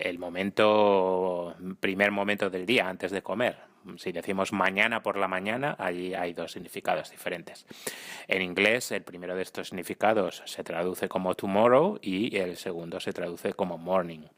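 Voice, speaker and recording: Male caller, deep and even voice, hesitant, restarts his phrases. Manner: careful, slightly hesitant, with many restarts. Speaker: listener sending a voice message. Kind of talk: prepared voice message.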